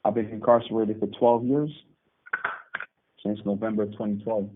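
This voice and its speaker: A man in his thirties from Barbados, calling in from prison and telling his story calmly, with hesitant restarts. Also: on the low side, moderate pace, background noise. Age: 30s. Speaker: guest. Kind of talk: phone interview. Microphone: phone call. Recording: tinny phone line.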